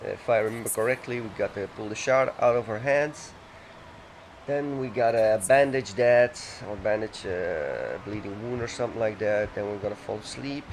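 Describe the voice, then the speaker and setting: Rambling low-voiced man gaming. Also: moderate pace, a little hiss or hum. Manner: chatty, rambling, self-correcting. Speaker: let's play gamer. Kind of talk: casual gaming commentary. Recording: music playing under the voice.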